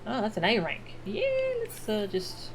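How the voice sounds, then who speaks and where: A woman's voice: mid-range voice, expressive, measured pace, background noise. A woman casually commenting as she streams a game, with wry asides.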